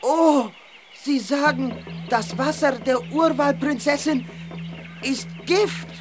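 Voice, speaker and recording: A woman voicing a loyal village child in a children's audio drama, with simple, deliberate phrasing. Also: sits high, music in the background. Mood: worried. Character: village child, loyal companion of the young witch.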